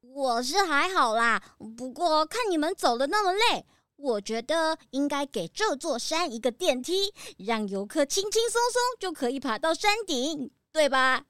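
A woman's voice: sits high, big pitch swings, measured pace, a bit bright, dry recording, studio quality, exaggerated voice. A woman voicing a cute, cheerful, naive small animal hiker in a children's mystery audio drama. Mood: chirpy.

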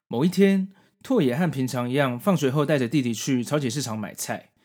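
Man storytelling with animated, swinging pitch. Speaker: podcast host. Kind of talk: scripted narration of manga story and commentary.

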